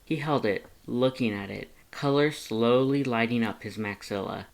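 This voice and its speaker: Fan fiction audiobook narrator, mid-pitched voice, scripted storytelling. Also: moderate pace, creaky.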